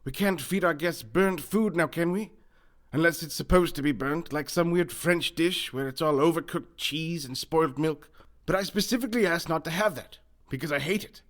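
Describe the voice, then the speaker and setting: An actor playing a pompous, wealthy newspaper boss with a grandiose, theatrical manner in a comedic radio play. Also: male voice, on the high side, normal speed, fairly bright tone, lightly acted. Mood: grandly hospitable.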